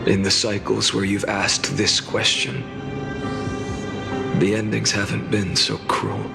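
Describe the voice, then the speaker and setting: A world-weary, resigned game character with a low, gravelly voice. He speaks very slowly and solemnly, in a long reverb over clear music. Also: flat delivery. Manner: slow, solemn, measured. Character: weary, resigned man hardened by countless repeated cycles. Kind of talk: dramatic video game acting.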